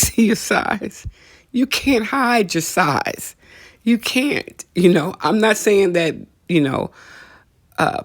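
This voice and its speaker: A woman's voice: sits low, dry recording, studio quality. A middle-aged woman hosting a casual comedic talk, conversational and teasing, repeating phrases for emphasis. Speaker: podcast host.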